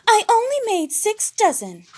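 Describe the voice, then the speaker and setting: A vain girl pig from a cartoon storybook. She is shrill and very high, swooping theatrically as if every word were an affront. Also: wildly swooping pitch, unhurried, full character voice. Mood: huffy outrage.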